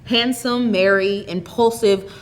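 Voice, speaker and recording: Female storyteller, mid-pitched voice, expressive scripted narration of a tale. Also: slow pace. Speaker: story reader.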